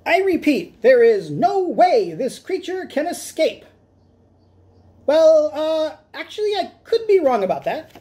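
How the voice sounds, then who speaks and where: A man voicing a greedy, boastful mouse scientist with a squeaky voice in a storybook. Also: big pitch swings, a little slow, warm tone, exaggerated voice. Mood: showy, boastful.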